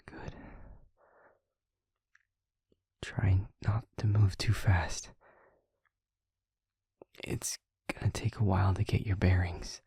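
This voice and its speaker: A man playing an anxious, tender, protective young vampire boyfriend in an intimate audio roleplay, speaking haltingly and often in a whisper. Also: low voice, little pitch movement, vocal fry, dark tone, breathy edge, no room sound, studio quality, full character voice. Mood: gentle, careful.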